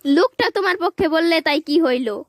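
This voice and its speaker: A woman's voice: extremely high pitch, animated, normal speed, no echo, clean studio sound. A woman voicing a stubborn, cheeky child in a cartoon, arguing back in colloquial speech. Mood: argumentative. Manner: protesting, argumentative, colloquial. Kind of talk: cartoon voice acting.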